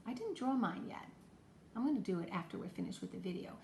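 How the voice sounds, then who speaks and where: A woman's voice: mid-range voice, animated, fast, gravelly, a little mellow. A woman teacher talking to young children in a homemade lesson video, encouraging them with simple questions and explanations. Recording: echoey room, faint background noise.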